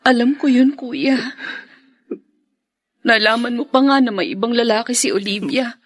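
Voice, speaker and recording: An actress playing a gentle, sorrowful younger sister in a Filipino radio drama. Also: female voice, on the high side, normal speed, no echo, studio quality, close to an everyday voice. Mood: resigned.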